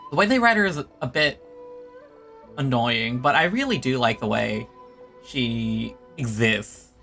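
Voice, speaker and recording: A man's voice: medium pitch, lively intonation, measured pace, gravelly, pushed and bright. A man streaming a game, giving casual live commentary as he plays. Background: game music.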